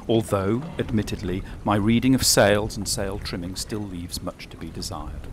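A man in his sixties filming himself aboard a small open sailing boat on an estuary. He talks in a relaxed, chatty, self-mocking way, with many fillers. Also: sits low. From England. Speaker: sailing vlogger. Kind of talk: casual vlog narration. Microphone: action camera mounted on the boat. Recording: clear wind and water noise.

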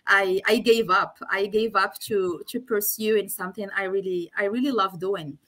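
A woman in her thirties from Morocco, a guest on a video call, speaking as a with hesitant pauses and restarts. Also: mid-range voice, fairly even intonation, a bit bright, low-quality recording. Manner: hesitant, many fillers and restarts. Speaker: guest, legal operations specialist. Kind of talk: casual video-call interview. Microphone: video call, laptop camera.

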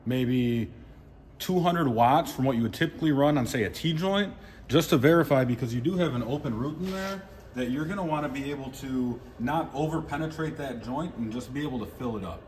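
A man's voice: mid-range voice, a little fast, a little mellow, a little hiss or hum. A welder in a workshop, a man giving plain, practical explanations of his settings and technique. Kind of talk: instructional shop talk. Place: indoor welding workshop.

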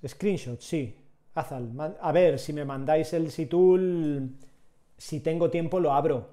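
A man, chatting with viewers while teaching 3D sculpting live from a small home room. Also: mid-range voice. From Catalonia, Spain. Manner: relaxed, chatty, answers chat while working. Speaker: 3D sculpting instructor.

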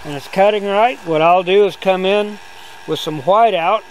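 An older man giving a relaxed, step-by-step outdoor demonstration as a sawmill instructor, talking in a plain, easygoing way. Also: high voice, moderate pace, a little hiss or hum, rough recording. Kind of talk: hands-on demonstration. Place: outdoors on a grassy lawn.

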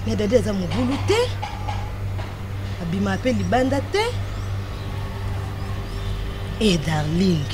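An actress plays a young woman with a Congolese accent who dishes out bold, scheming advice to a friend. It is filmed popular theatre out in a garden. Music runs clearly behind her voice. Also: medium pitch, expressive, normal speed, close to an everyday voice. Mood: reproachful. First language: Lingala. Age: young adult. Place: outdoors in a walled garden.

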